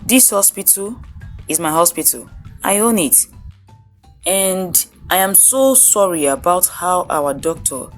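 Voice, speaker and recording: A calm, well-off gentleman in a folktale, as a woman narrator reads him. He is measured and courteous, speaking slowly over faint music. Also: on the low side. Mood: calm, apologetic. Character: calm, composed wealthy man, hospital owner.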